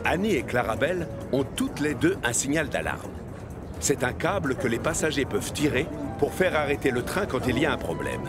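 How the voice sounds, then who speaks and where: A man's voice: mid-range voice, sing-song, normal speed, over loud music. A man narrating a children's cartoon like a scripted storybook.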